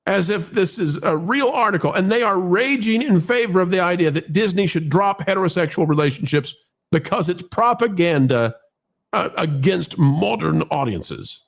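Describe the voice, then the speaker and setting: A man's voice: sits high, creaky. A man hosting an opinionated talk show, speaking emphatically and repeating himself for effect. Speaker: host of an entertainment news talk show. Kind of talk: opinionated commentary to co-hosts and audience.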